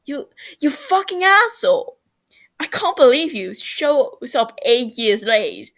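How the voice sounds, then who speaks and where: A woman voicing an excitable, foul-mouthed young man in a story, loud and exclaiming, indignant but affectionate. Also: high-pitched, takes it slowly, pitched above the performer's own voice, exaggerated voice. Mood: outraged, teary.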